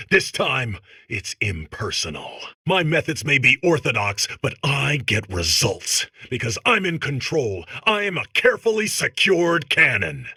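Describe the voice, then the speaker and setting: An actor playing an intense, earnest by-the-book cop robot, melodramatic and raspy, parodying action-film tough guys. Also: male voice, mid-range voice, bright, forceful tone, dry recording, studio quality, exaggerated voice. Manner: melodramatic tough-guy delivery.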